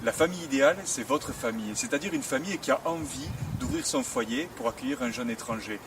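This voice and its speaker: Upbeat school head, distant outdoors. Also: male voice, mid-range voice, big pitch swings, fast, creaky. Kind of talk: promotional appeal to camera. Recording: distant mic, street noise.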